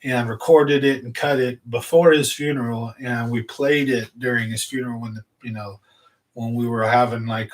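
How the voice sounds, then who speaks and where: Easygoing man rambling to his viewers. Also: mid-range voice. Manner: rambling, easygoing, lots of fillers. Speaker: fishing channel host. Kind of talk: casual livestream chat to viewers.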